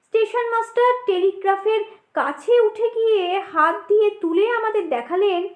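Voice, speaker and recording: A woman reading a scripted ghost story as an audiobook narrator, expressive and suspenseful, with deliberate pauses. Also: extremely high pitch. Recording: roomy echo.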